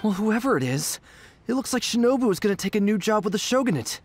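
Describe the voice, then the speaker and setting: A woman's voice: mid-range voice, sing-song, quick pace, slight background noise, lightly acted. A woman playing a worried, wary young gang member in a video game, plain-spoken. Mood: suspicious.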